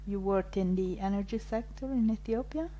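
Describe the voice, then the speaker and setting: A woman's voice: mid-range voice, normal speed, mellow, lo-fi sound, slight background noise. Friendly woman hosting a podcast. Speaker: podcast host. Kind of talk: casual interview chat.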